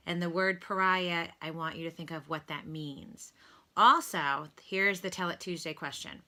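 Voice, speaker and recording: A woman reading a children's storybook aloud like a teacher, with deliberate, instructive delivery. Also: lowish voice, steady tone, pushed and bright. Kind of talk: read-aloud storybook narration with lesson prompts.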